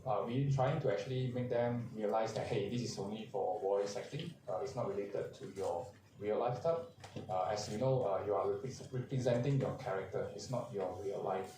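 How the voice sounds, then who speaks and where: A man, a vtuber agency manager on a convention panel, speaking haltingly with many fillers. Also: on the low side. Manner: halting, many "uh" fillers, non-native grammar. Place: large convention hall. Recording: echoey hall, distant mic, faint crowd noise.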